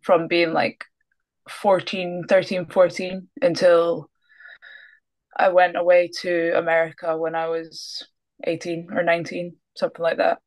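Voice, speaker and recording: A woman's voice: on the low side, completely flat delivery, unhurried, darkish tone. Easygoing Scottish woman on a video call. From Scotland. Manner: easygoing, laughs often, trails off. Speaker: guest basketball player. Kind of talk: casual interview. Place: small room indoors.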